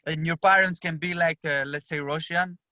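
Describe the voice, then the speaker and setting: A young man with an Albanian accent, whose first language is Albanian, telling a story as a podcast guest, animated and full of restarts. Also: fairly high pitch, moderate pace, no echo, clean studio sound. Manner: animated, acts out his own past lines with frequent restarts. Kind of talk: casual storytelling.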